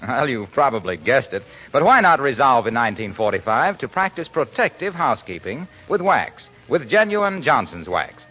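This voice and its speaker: A man's voice: mid-range voice, very animated, normal speed, hardly any pauses, faint music behind. An American man announcing and selling in the style of a 1940s radio broadcast. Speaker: programme announcer and pitchman. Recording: muffled, husky-sounding 1940s radio recording.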